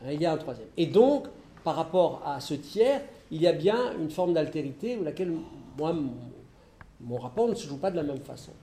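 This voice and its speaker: Hesitant French lecturer in an echoey hall. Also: male voice, fairly high pitch, sing-song, dark tone, slight background noise. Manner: halting, many restarts and fillers, thinking aloud. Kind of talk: public philosophy lecture with questions.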